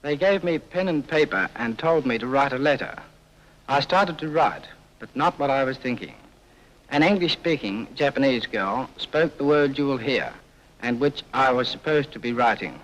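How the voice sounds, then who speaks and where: A man giving scripted first-person testimony in an old documentary, telling it plainly and deliberately. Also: mid-range voice, fairly bright tone, low-quality recording.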